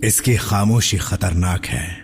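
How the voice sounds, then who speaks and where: A man's voice: sits low, slow pace, gravelly, over music, full character voice. A man voicing a wary male robot soldier in a cartoon dub, cautious and deferential. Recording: reverberant hall.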